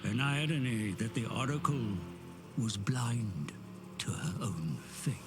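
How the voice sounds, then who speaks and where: A man giving grave, scripted narration for game cutscenes, slow and measured, dropping at times to a hushed whisper. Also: medium pitch, expressive, loud music behind the voice. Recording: studio narration over loud orchestral score.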